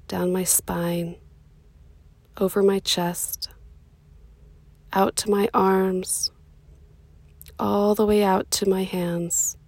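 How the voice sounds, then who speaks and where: A woman leading a guided meditation in a soothing, unhurried way, with pauses between short phrases and a soft voice that turns breathy at times. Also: medium pitch, dry recording.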